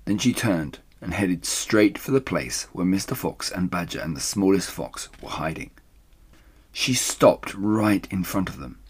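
A man reading a children's story aloud as a dramatic storyteller, suspenseful and hushed at moments. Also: deep voice, expressive, a little slow, breathy edge.

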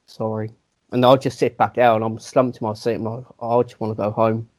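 A man's voice: on the low side, flat delivery, fairly quick, a little mellow. A man, co-hosting a casual podcast and telling a self-mocking, rambling story full of restarts. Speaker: podcast co-host. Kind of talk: casual chat, anecdote telling.

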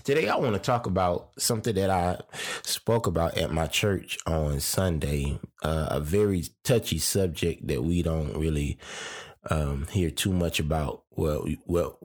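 A man's voice: a bass voice, unhurried. A man hosting his podcast, congested and a little stuffy from his sinuses, talking in a loose, rambling way with lots of fillers and restarts. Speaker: podcast host; preacher. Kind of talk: casual podcast monologue.

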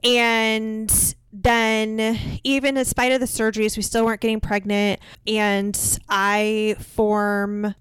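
A woman's voice: on the high side, level tone, slow pace, a bit bright, clean tone, no room sound. A woman from central Illinois, a guest in an interview, talking in a chatty, upbeat way and laughing easily. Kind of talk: casual interview conversation.

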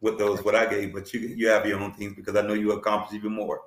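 Male talk show host, low voice, effusive and repetitive, echoey room sound. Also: completely flat delivery, fast talker. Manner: effusive, repeats words and fillers. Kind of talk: podcast talk show hosting.

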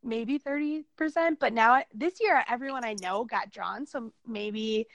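A woman's voice: fairly high pitch, moderate pace, dry recording. A woman with a Minnesotan accent co-hosting a podcast, chatting in an upbeat, jokey way. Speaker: podcast co-host. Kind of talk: casual chat.

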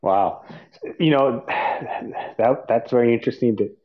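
A man's voice: medium pitch, slow, muffled recording. A man interviewing a guest in casual conversation, friendly, with rambling questions and restarts.

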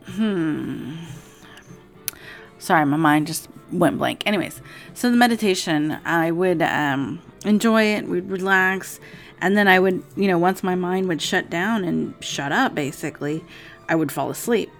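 A woman in her forties talks at home in a low, raspy voice, meandering through her thoughts with plenty of ums and restarts. Faint music plays softly from another room behind her. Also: expressive, unhurried. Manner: rambling, meandering with many fillers. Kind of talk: casual personal vlog monologue.

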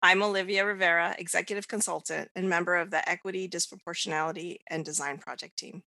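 A woman's voice: lowish voice, fairly even intonation, fast talker, vocal fry, sharp tone, no echo. A woman from the United States hosting a podcast, giving prepared introductions. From United States, child of Mexican immigrants. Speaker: podcast host.

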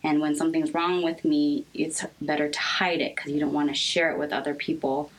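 Young woman, American accent, low pitch, thoughtful halting delivery, conversational interview guest. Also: flat delivery, poor audio. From United States, raised in Seattle. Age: young adult.

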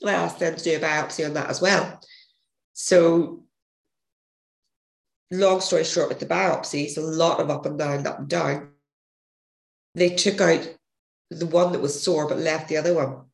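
Gravelly, hoarse woman telling her story. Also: deep voice, steady tone, unhurried, pauses often. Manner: rambling, self-correcting storyteller. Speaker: podcast guest, author. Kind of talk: casual interview storytelling. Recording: echoey room.

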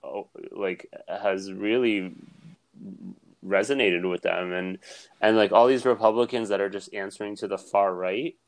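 A young man with a fairly low voice talks through his views in a casual podcast conversation. He is earnest and rambling, restarting his sentences often. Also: steady tone, measured pace, low-quality recording. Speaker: podcast co-host. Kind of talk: casual political chat.

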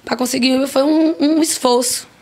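Woman, 40s, podcast guest, higher voice, playful emphatic storyteller. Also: a little fast. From Brazil. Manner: lively, joking, emphatic storyteller. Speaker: restaurant owner guest. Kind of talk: casual podcast interview.

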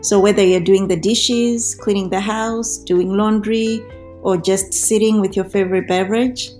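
A woman's voice: mid-range voice, fairly even intonation, moderate pace, darkish tone, soft background music. A woman hosting a podcast, introducing the show and chatting with a guest in an interview style. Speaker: podcast host.

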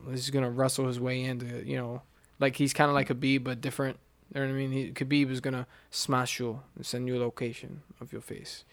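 Excitable, foul-mouthed man chatting. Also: medium pitch, smooth, no echo. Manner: swears freely, excitable, rambling with restarts. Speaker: podcast co-host. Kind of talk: casual chat about fights.

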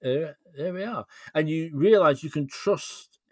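A man's voice: fairly high pitch, a little slow, no room sound, studio quality. A man chatting as an interview guest, explaining things in a thoughtful, hesitant way. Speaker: podcast guest, writer. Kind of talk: casual interview chat.

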